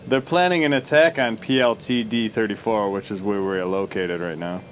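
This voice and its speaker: A man's voice: on the low side, normal speed, a little hiss or hum. A man giving dry, teasing commentary while a friend plays a game, and sometimes reading the mission text aloud. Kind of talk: casual let's-play commentary.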